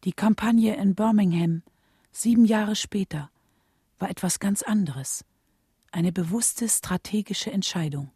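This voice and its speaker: An actress playing a reflective widow of a civil rights leader, narrating memories softly in a radio drama with a husky voice. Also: female voice, mid-range voice, measured pace, no echo, clean studio sound. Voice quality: husky, soft.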